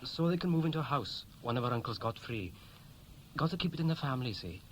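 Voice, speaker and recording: A restless young Welshman, played by a male actor in a sixties radio drama. His pitch swings freely as he hesitates and corrects himself, half shy and half boastful. The old recording carries clear background noise. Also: animated, fast talker, close to an everyday voice. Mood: doubtful, frank. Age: young adult. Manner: hesitant, colloquial, self-correcting. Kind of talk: 1960s radio play acting, naturalistic dialogue. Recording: noisy vintage 1960s radio recording.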